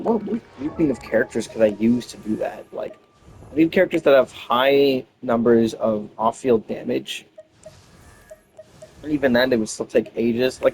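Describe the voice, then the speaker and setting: A man's voice: medium pitch, a little hiss or hum. A man giving casual gameplay commentary, rambling and thinking aloud as he goes. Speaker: gamer commenting on own playthrough.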